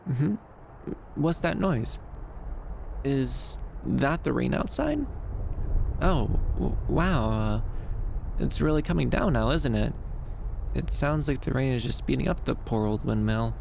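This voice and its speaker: Guy doing soft shy fox boy asmr, bit creaky, hesitant n soothing, faint background ambience. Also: mid-range voice, lively intonation, slow pace, pauses often, a little hiss or hum. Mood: gentle wonder. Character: shy, gentle, caring young male dream fox companion. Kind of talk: ASMR roleplay, soft comforting monologue.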